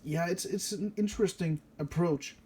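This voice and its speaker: Danish-accented man, higher voice, soft mellow tone; loose, self-correcting deck walkthrough. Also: moderate pace, slight background noise. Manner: rambling, self-correcting, many fillers. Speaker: card game deck reviewer.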